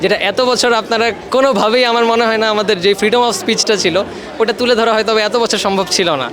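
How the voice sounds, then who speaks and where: A man's voice: high-pitched, steady tone, quick pace, crowd noise. A young man with a Bangladeshi accent, a student giving a vox pop interview. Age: young adult. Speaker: student visitor. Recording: noisy crowded public space.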